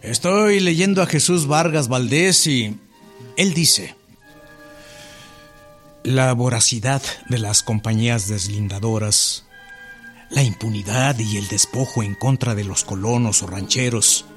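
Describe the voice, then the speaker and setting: A man playing a bookish townsman in a radio drama. He reads history aloud formally in a medium-pitched voice over faint music. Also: slow, long pauses, natural voice. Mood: formal reading.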